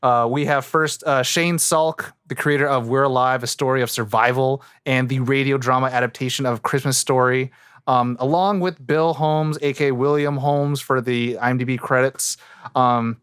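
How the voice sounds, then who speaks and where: A man's voice: medium pitch, fairly even intonation, moderate pace, fairly bright tone, smooth, no echo, studio quality. A man hosting a podcast in casual, unscripted talk, rambling and often hesitating or interrupting himself. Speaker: podcast host.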